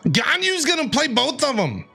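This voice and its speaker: A man's voice: very high voice, huge pitch swings, normal speed, vocal fry, bright, forceful tone. A man streaming himself reacting to a video game, joking and teasing the characters in a casual way. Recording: game music faint behind.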